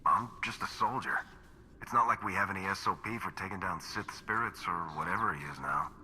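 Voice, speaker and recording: A man's voice: low voice, a little fast, pushed and bright, soft background music. A man playing a blunt, determined and commanding soldier hero in a video game cutscene. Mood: wry, wary.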